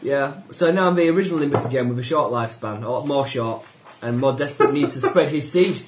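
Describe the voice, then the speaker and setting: A man's voice: mid-range voice, fast. A man with a Yorkshire accent chatting jokily and animatedly at a tabletop game table. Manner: jokey and animated. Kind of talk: casual chat at a game table. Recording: roomy room mic with faint noise.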